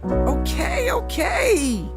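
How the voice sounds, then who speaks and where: A man's voice: very high-pitched, huge pitch swings, voice pushed up, exaggerated voice. A man voicing a sleepy, grumbling, reluctant boy in a narrated story, with music playing underneath. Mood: groggy, whiny. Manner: groggy, reluctant, drawn-out.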